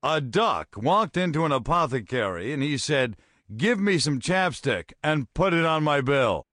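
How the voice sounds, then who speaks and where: An actor playing a jokey human adventurer delivering a corny video game joke line. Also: male voice, fairly high pitch, expressive, unhurried, fairly bright tone, no room sound, studio quality.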